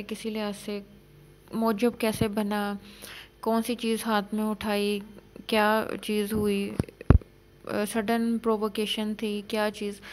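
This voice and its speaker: A woman lecturer explaining law for exam students, reading out legal definitions slowly and then explaining them in plain terms. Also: mid-range voice, a little hiss or hum, poor audio.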